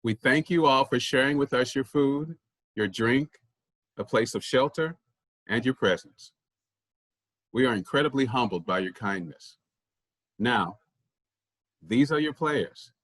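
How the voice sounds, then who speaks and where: A man's voice: medium pitch, measured pace, pauses often, fairly bright tone, no echo. A man playing a humble farmer who leads a traveling troupe of storytellers, giving an earnest, formal monologue in a staged play reading. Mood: grateful, humble.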